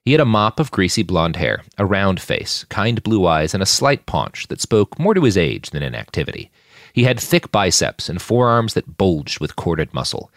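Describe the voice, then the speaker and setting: A man's voice: low-pitched, sing-song, normal speed, dry recording. A man reading scripted fiction as a podcast narrator, in a dramatic storytelling style, recorded up close.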